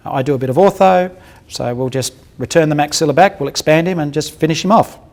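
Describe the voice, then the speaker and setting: A man with a gravelly, somewhat high voice lectures on dental cases. He talks bluntly and colloquially, slips in jokes, and puts questions to his audience. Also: fairly quick. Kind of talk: clinical lecture with case examples.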